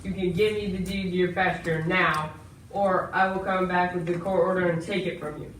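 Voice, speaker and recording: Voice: medium pitch, steady tone, moderate pace, lightly acted. A young performer playing a scheming, falsely genial swindler in an amateur stage melodrama, with theatrical, emphatic delivery. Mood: smugly threatening. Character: smooth-talking scheming villain swindler, fake government man in top hat. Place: school stage, far camera in audience. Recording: echoey hall from far off, noisy.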